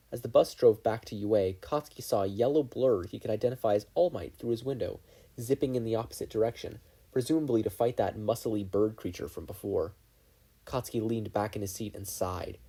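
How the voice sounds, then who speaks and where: Guy reading a story out loud, mellow kinda husky voice, expressive narration. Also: on the low side. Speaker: fan fiction podfic reader.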